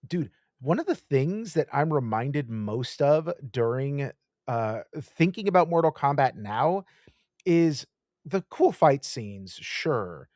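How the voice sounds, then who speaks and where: A man co-hosting a podcast, gushing with animated enthusiasm and swearing often in casual chat. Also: on the high side, no echo, studio quality. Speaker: podcast co-host.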